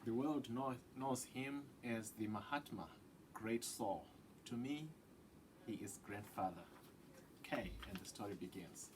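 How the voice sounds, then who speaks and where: A man reading a children's picture book aloud with deliberate, dramatic phrasing that drops into hushed tones. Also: lowish voice, noisy background. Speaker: read-aloud storyteller.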